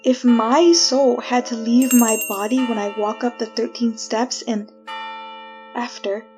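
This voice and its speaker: An actress playing a desperate, haunted woman prisoner condemned though innocent, telling her tale in theatrical, deliberate retro radio drama style. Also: fairly high pitch, fairly even intonation, music in the background, natural voice.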